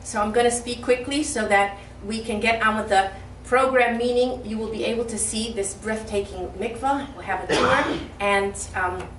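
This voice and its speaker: A woman from Detroit giving a lively, humorous speech at a community event, heard from far off in a hall. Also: fairly high pitch, normal speed, hardly any pauses. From Detroit, Michigan. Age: older. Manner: lively, humorous, speaks from the heart. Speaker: guest speaker. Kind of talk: public speech. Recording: echoey hall from far off, faint noise.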